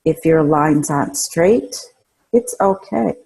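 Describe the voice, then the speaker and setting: Slow, mellow woman guiding meditation. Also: sits low, vocal fry, husky, poor audio. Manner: calm, soothing, instructional delivery with pauses. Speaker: guided meditation host. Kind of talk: guided imagery meditation narration.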